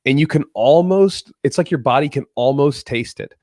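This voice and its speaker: A man's voice: medium pitch, normal speed, darkish tone, no room sound, clean studio sound. A man hosting a podcast in a casual, rambling, off-the-cuff way, with a slightly congested, nasal voice that often repeats itself. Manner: rambling, conversational, repeats himself. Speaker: podcast host.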